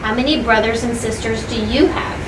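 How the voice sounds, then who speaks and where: High-voiced woman reading aloud to children. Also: a little fast. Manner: simple explanations, repeats points, asks listeners questions. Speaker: school teacher reading to children. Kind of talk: children's story read-aloud with explanatory asides. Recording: echoey room, distant mic, clear background noise.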